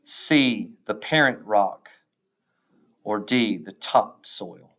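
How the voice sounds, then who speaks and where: Slow, deliberate man reading aloud. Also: on the low side, lively intonation, fairly bright tone. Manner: deliberate, instructional, pausing between answer choices. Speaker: teacher. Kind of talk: reading test questions aloud.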